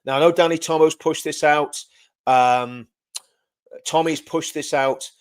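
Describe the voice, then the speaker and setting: A man hosting a casual livestream and talking straight to his viewers. He has a loud voice and rambles, repeating words and correcting himself as he goes. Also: fairly high pitch, steady tone, a little slow, smooth. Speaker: livestream host.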